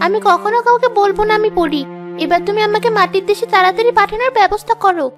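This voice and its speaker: Very high-pitched young fairy princess. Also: female voice, fast talker, music in the background. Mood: pleading, insistent. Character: young fairy princess girl, headstrong and pleading. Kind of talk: children's cartoon voice acting.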